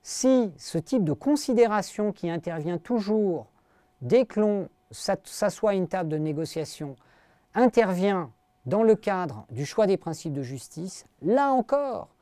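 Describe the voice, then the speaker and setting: A man's voice: high-pitched, animated, dark tone, no echo. A middle-aged man giving an academic philosophy lecture, explaining carefully to his audience with frequent hesitations and fillers. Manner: hesitant, full of pauses and fillers; explanatory, addresses listeners directly.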